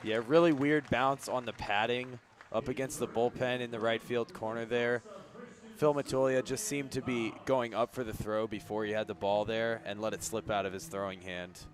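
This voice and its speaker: A man's voice: mid-range voice, steady tone, normal speed. An American man giving color commentary on a live baseball radio broadcast. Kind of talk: live radio sports analysis. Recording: live broadcast with background noise. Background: ballpark ambience.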